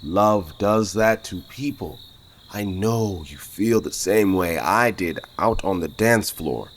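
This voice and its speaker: Slow, swooping, smug lovestruck suitor. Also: male voice, low-pitched, animated, a little hiss or hum. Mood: smug flirting. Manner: flirtatious, theatrical, self-assured. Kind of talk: audio drama acting.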